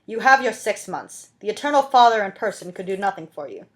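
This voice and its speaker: A woman's voice: medium pitch, sing-song, fairly quick, natural voice. A woman voicing a stern male police inspector in a storybook reading, curt, commanding and unyielding. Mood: cold, stern.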